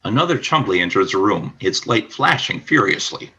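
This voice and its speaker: A man narrating a scripted radio play as storyteller, with a husky voice. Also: lowish voice, lively intonation, normal speed, bright, forceful tone, dull audio.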